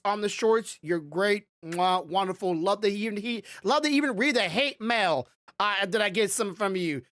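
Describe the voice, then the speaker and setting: A man hosting a podcast in casual, rambling chat, with a loud voice that rises when he gets passionate about something. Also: sits high, dry recording, clean studio sound. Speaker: podcast host.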